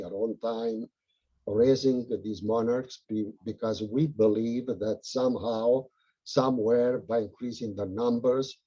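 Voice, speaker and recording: A man's voice: mid-range voice, dark tone, lo-fi sound. A man with an Italian accent, a local butterfly group organizer, talking earnestly and rambling with many fillers on a video call.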